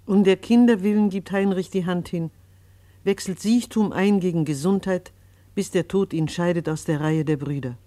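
A woman's voice: lowish voice, normal speed, dark tone, dry recording. Solemn woman narrator, dry sound. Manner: solemn, biblical recitation tone. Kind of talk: solemn scripted radio drama narration.

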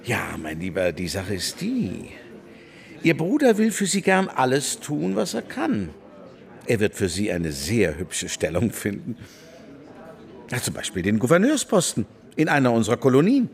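A man's voice: medium pitch, wildly swooping pitch, normal speed, creaky. An actor playing an obsequious, fussy male political go-between in a radio drama. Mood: placating.

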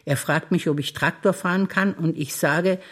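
An older German woman, a stage actor, reciting her personal memories calmly and wistfully in a theatre piece. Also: lowish voice, moderate pace.

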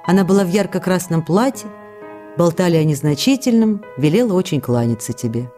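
A woman's voice: lowish voice, animated, a little fast, warm tone, natural voice. A woman playing a loving, worried actress wife who reads her letters in a radio drama. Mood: confiding, sad. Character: loving, anxious actress wife, tender and reproachful. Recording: music playing behind the voice.

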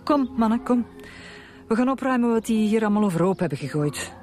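A woman's voice: fairly high pitch, expressive, fairly quick, darkish tone, soft background music, close to an everyday voice. An actress playing a proud, defensive middle-aged mother in a radio drama, speaking curtly with a Flemish accent. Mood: defensive, indignant. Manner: curt, defensive. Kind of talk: naturalistic radio drama acting.

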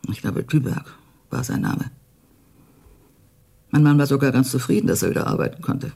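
An actress playing a grave, embittered elderly widow in a radio drama, with a husky, gravelly voice. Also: female voice, rumbling low, animated, normal speed, dark tone, exaggerated voice. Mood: grave, sorrowful. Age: older. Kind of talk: naturalistic radio drama acting.

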